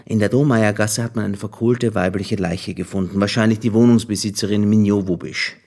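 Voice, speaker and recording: An actor playing a pompous middle-aged police superior with a husky voice, talking with theatrical, scornful condescension in a radio crime drama. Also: male voice, on the low side, fairly even intonation, a little fast, a little mellow. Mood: threatening.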